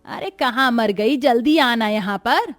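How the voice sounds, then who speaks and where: A woman voicing a domineering middle-aged mother-in-law in a cartoon story, sharp and nagging in manner. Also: fairly high pitch, measured pace, lightly acted. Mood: scolding. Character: haughty, scolding middle-aged Indian mother-in-law, doting on her daughters. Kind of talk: acted Hindi cartoon story dialogue.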